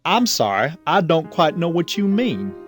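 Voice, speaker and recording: A man playing a smooth, needling suitor in an audio soap drama, suave and feigning innocence. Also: a little high, big pitch swings, normal speed, soft background music. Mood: smug, needling.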